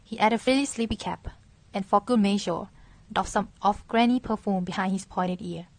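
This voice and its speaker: A woman's voice: mid-range voice, moderate pace, no room sound. A woman narrating a scripted children's story as moderator.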